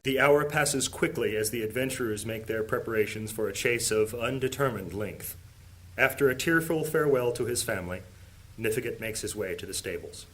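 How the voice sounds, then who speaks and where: A man's voice: fairly low pitch, moderate pace, a bit bright, poor audio. A man acting as the solemn storyteller of an epic fantasy audio drama, reading scripted dramatic narration. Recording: music bed under the voice. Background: music.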